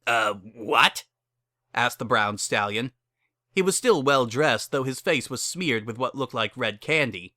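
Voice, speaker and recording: Casting: male story narrator, mid-pitched, crisp bright tone, dry close recording, scripted prose delivery. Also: lively intonation, normal speed, no echo.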